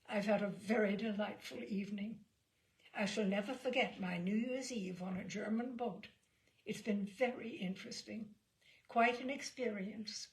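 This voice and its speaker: A prim spinster passenger from a storybook , as a woman reader plays her. She is composed and coolly polite, speaking in measured, genteel phrases at a medium pitch with a creaky edge. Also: natural voice, poor audio. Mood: gracious.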